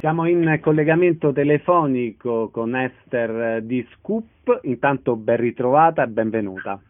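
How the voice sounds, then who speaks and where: A man's voice: medium pitch, measured pace, narrow, tinny audio, soft background music. Male radio host, mid-range voice, informal interviewer taking guests through their events.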